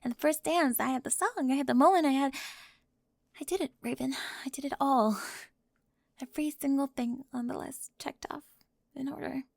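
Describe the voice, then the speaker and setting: A woman performs a high-strung teenage cheerleader girl in an ASMR roleplay. Her voice is high with plenty of fry, recorded very dry. She is defensive and emphatic, slipping into sighs and breathy whispers as she gets flustered. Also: normal speed, no echo. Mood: upset, confessing. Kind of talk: ASMR audio roleplay acting.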